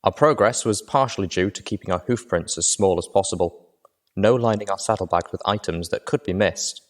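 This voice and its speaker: A man reading first-person audiobook narration in a steady, measured way. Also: deep voice.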